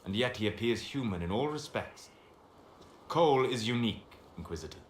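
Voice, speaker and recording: An actor voices a composed male mage in a fantasy game. He explains things with measured, articulate certainty at a medium pitch. The recording is roomy with faint noise. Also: animated, lightly acted. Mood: calm, persuasive. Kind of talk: dramatic video game acting.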